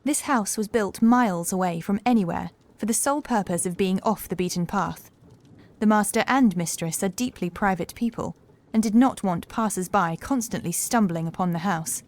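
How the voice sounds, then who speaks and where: Woman doing a young housekeeper for a horror audiobook, calm n assured, proper wording, bit guarded and sad. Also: mid-range voice, natural voice. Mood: wary, firm. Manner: measured, formal, composed. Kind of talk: horror audiobook character acting.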